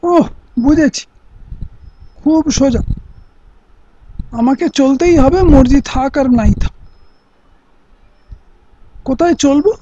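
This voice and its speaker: A man as a wry, high-voiced wandering singer. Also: slow pace, long pauses, warm tone, a little hiss or hum. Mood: wry, protesting. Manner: wry, wordy. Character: witty, wry wandering singer, reluctantly conscripted. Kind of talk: theatrical radio-play acting. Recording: muffled lo-fi audio-play recording.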